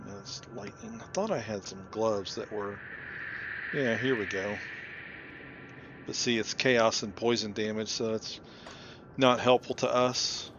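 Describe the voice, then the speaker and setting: A man with a fairly low voice gives casual commentary on a game he is playing. He talks informally, thinking aloud as he goes. Loud game music plays behind him. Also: animated, a little slow, long pauses, dull audio. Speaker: host of a game playthrough.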